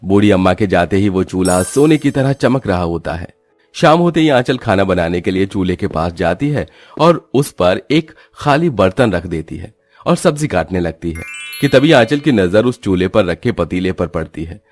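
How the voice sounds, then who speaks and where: A man's voice: deep voice, lively intonation, normal speed, soft background music. A man narrating a scripted cartoon story.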